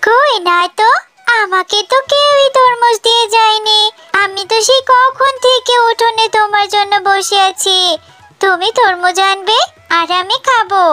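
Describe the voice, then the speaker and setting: A woman's voice: very high-pitched, measured pace, sharp tone, faint music behind, heavily acted. A woman voicing an eager, innocent little jackal girl in a children's cartoon, with a childlike, plaintive manner. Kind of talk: animated children's cartoon dub.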